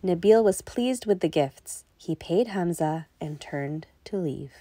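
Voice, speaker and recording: A woman storyteller reading a children's tale with expressive, deliberate phrasing. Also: fairly low pitch.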